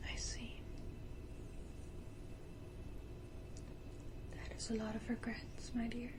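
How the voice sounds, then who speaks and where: A woman voicing a gentle, mournful female vampire in an ASMR roleplay, speaking tenderly and formally in whispers. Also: a little high, natural voice, low-quality recording. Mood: sympathetic, solemn. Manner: slow, tender, formal, condescendingly affectionate.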